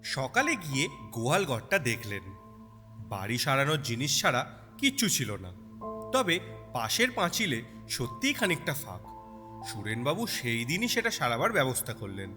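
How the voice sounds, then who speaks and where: A man's voice: medium pitch, sing-song, moderate pace, bright, forceful tone. A man narrating a scripted story expressively, as an audiobook storyteller would. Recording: long reverb over music bed. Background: music and cricket sounds.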